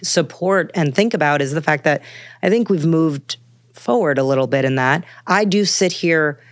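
Low-voiced woman guest on a dry studio mic, musing with lots of fillers. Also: moderate pace, dry recording. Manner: thoughtful, meandering, many fillers and restarts. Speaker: author and podcast guest. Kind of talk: casual conversational interview.

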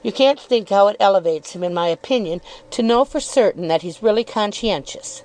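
A woman's voice: mid-range voice, expressive, brisk, a little mellow, natural voice, low-quality recording. A woman reading an audiobook, voicing a sly young woman who hints and needles with arch, probing questions. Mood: feigned delight.

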